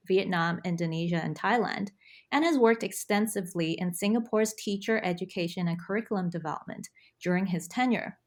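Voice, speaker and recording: Woman host on an academic podcast, friendly, asks questions nicely. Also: medium pitch. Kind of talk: scripted intro then interview questions.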